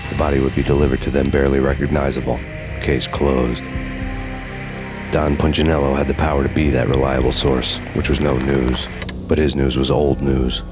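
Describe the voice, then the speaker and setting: Weary noir game hero, super deep n flat, sardonic, music behind. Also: male voice, moderate pace. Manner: deadpan, sardonic. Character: bitter, world-weary hard-boiled male antihero. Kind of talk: noir video game narration.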